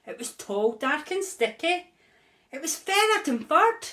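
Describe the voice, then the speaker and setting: A woman reads a rhyming children's story aloud, slow and measured. Her voice is fairly high and expressive, leaning into the rhythm of each line. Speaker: storybook reader. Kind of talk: reading a picture book aloud.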